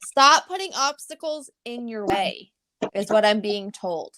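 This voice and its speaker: A woman from Pennsylvania giving a calm, instructive card reading as a co-host. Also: mid-range voice, unhurried, rough recording. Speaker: psychic reader. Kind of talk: live spiritual reading.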